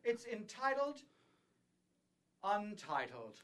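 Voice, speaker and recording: A man's voice: high-pitched, big pitch swings, takes it slowly, a bit bright, no echo. A man hosting a radio show in a playful, theatrical, gushing manner. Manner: effusive, gushing. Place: radio studio.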